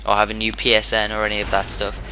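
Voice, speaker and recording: A man with a hoarse, sore-throated voice giving casual commentary over his own gameplay, rambling and often restarting his sentences. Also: on the low side, monotone, fast talker, noisy background. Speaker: gaming commentator.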